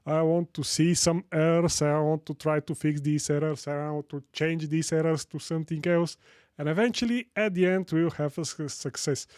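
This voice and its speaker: A man from Sofia with a Bulgarian accent hosting a casual live tech tutorial, chatting with viewers in a halting way and repeating phrases for emphasis. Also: a little high, steady tone, a little mellow, smooth, no echo, studio quality. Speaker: live stream host.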